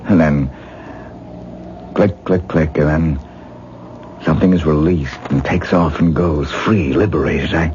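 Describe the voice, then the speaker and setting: A man's voice: very deep voice, fairly even intonation, unhurried, pauses often, a little mellow. A male actor playing an obsessive, self-absorbed stage-actor husband in a 1970s radio drama, with a rapturous, theatrical, musing manner. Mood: earnest, insistent. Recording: muffled, hissy 1970s radio recording.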